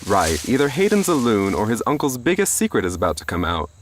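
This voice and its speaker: An American man with a lively, bouncing delivery over faint noise. He is an easygoing, slightly dim radio-drama sidekick, casual and a bit defensive. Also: fairly low pitch, quick pace. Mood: casual, goofy.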